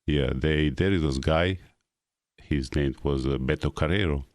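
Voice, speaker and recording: A middle-aged man from Russia with an Ossetian mother tongue, speaking halting, Russian-accented English as an interview guest. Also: a bass voice, dry recording, studio quality. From North Ossetia, Russia. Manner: halting English with frequent uh pauses. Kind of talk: casual interview.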